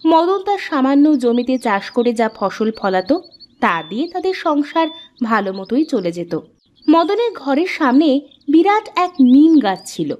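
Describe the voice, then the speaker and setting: A woman's voice: high voice, very animated, moderate pace, darkish tone, dull audio. A woman reading scripted storybook narration for a cartoon tale.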